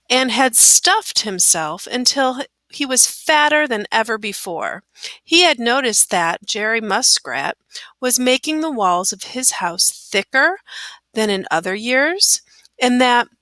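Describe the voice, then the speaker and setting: A woman reads a storybook aloud in a bright, slightly high voice. Her phrasing is careful and expressive, with lively rises and falls. The sound is dry. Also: no room sound. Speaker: storybook reader. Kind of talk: children's story read-aloud.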